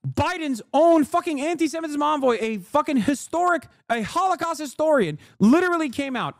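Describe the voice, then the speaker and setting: A man's voice: very high voice, lively intonation, brisk, gravelly, no echo, clean studio sound. A man in his thirties, a streamer, ranting in heated, profane reaction commentary and laughing as he talks. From Turkey. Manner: laughs through his lines.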